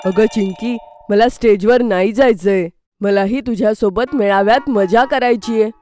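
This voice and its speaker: A woman's voice: mid-range voice, moderate pace, dark tone, faint music behind, natural voice. A woman voicing an earnest young schoolboy in a children's cartoon story, with a boyish manner. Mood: reluctant, disappointed. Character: eager young schoolboy, easily disappointed.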